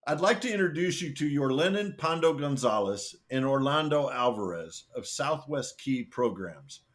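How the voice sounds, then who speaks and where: An enthusiastic man hosts an interview podcast, his voice rising and falling in a lively, animated way. He opens with a scripted introduction and then moves into relaxed questions for his guests. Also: mid-range voice, moderate pace. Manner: upbeat, enthusiastic, lively delivery. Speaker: podcast host. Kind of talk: hosted interview.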